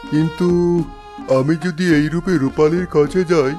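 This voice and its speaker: Cartoon serpent, male actor: higher male pitch, grave, determined, kind-hearted, light music underneath. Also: fairly even intonation, moderate pace, darkish tone, lightly acted. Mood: resolute, concerned. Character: compassionate male red serpent, solemn and resolute. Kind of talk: dramatic cartoon story dialogue.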